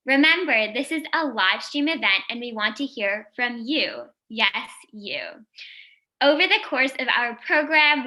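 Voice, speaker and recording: A woman's voice: on the high side, pushed and bright, low-quality recording. A young woman hosting a live stream fundraiser, upbeat and welcoming, speaking straight to the viewers. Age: young adult. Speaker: host, founder of youth nonprofit.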